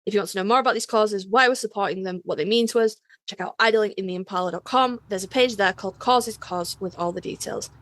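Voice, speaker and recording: A woman's voice: medium pitch, fast talker, few pauses, a bit bright, no room sound. A woman co-hosting a podcast in a casual, effusive and emphatic way. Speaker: podcast co-host. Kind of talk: casual chat and podcast sign-off.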